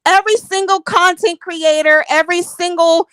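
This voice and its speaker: A woman's voice: very high-pitched, steady tone, normal speed, fairly bright tone, dry recording. A married woman defending herself in a heated, profane livestream argument, emphatic and defensive. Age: adult, married. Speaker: YouTube commentator.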